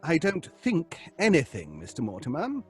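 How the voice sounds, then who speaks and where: An actor playing an earnest, pedantic professor leading a seance in a radio drama, speaking precisely with hesitant ums. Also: male voice, a little high, wildly swooping pitch, moderate pace, faint music behind. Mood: pedantic, measured. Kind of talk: old-fashioned radio drama acting.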